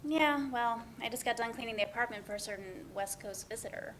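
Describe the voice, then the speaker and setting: A woman's voice: a little high, brisk, a bit bright, slight background noise, lightly acted. A woman playing a wry, sarcastic young woman with a dry, natural delivery in a play reading. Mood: wry, teasing.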